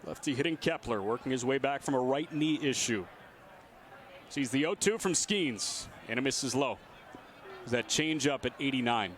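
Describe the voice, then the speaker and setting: A man's voice: medium pitch, lively intonation, moderate pace, slight background noise. A man doing live radio play-by-play commentary of a baseball game. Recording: outdoor stadium ambience behind voice. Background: ballpark ambience.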